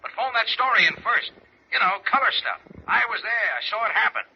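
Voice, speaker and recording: Fast, pushy editor over telephone. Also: male voice, sits high, expressive, vocal fry, husky, full character voice. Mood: sardonic, driving. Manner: punchy, sardonic, clipped. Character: brisk, pushy newspaper editor on the phone. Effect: telephone filter. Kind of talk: 1940s radio drama acting. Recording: dull old radio, telephone-filtered voice.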